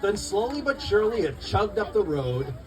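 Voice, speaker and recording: A man reading his picture book aloud at a live event, expressive and rhythmic in the rhymes, with informal asides to listeners. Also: sits high, moderate pace, a crowd in the background. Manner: expressive, rhythmic storytelling of rhyming verse. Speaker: children's book author reading his own book. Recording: distant mic, noisy live room.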